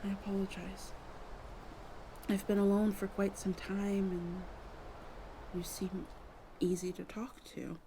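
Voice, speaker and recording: Woman playing a soft, mellow, lonely dark fae. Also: mid-range voice, monotone, takes it slowly, pauses often, close to an everyday voice, poor audio. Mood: sad, apologetic. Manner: tender, hesitant, apologetic, formal storybook phrasing. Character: gentle, lonely, abandoned female dark fae healer. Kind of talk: ASMR roleplay, softly spoken to the listener. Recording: faint background noise.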